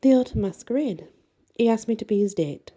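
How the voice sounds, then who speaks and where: A woman's voice: a little high, lively intonation, fairly quick, dark tone, pitched above the performer's own voice. A woman voicing a wry, candid teenage girl heroine in a bedtime story, chatty and slightly sheepish. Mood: sheepish, confiding.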